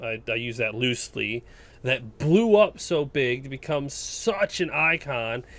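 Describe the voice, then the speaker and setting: A man hosting a podcast in casual, opinionated chat, making his points bluntly and with emphasis. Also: fairly high pitch. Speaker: podcast host.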